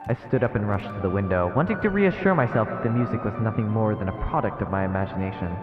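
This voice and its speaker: A man's voice: on the low side, lively intonation, fast talker. A man streaming a horror visual novel, reading it aloud as dramatic, ominous narration. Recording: echoey reverberant muffled sound with music. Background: game music.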